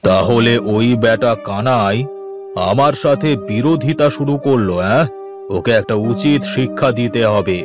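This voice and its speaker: A man's voice: mid-range voice, a little slow, close to an everyday voice. A man playing a scheming, contemptuous village landlord in a cartoon, with a threatening, commanding way of talking. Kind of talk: animated cartoon villain dialogue. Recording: music behind the voice.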